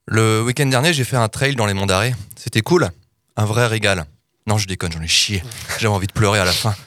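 A man's voice: fairly low pitch, brisk, fairly bright tone, no room sound, studio quality. A man in his thirties reading a comic podcast column, wry and self-mocking, with theatrical touches. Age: 30s.

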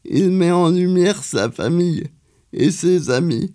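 Slow, mellow-voiced man reading a culture column. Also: high-pitched, expressive. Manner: slow and careful, with hesitations and fillers. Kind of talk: reading a radio events column.

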